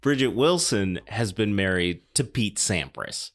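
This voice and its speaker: A man with a fairly low voice talks as a podcast host. He sounds conversational and wry, dropping jokes and the odd swear as he discusses films and show plans. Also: animated, a little slow. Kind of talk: casual film discussion and show announcements.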